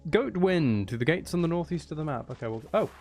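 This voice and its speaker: A man's voice: on the high side, lively intonation, fast, darkish tone, soft background music. A man streaming a video game, thinking aloud in a casual, playful running commentary. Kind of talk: casual live gameplay commentary.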